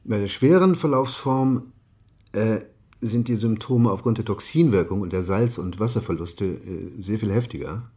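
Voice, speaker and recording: A man playing a physician giving a halting expert explanation in a radio play interview, with many ers. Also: lowish voice, dark tone, natural voice. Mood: matter-of-fact. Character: hesitant male physician explaining disease as studio expert.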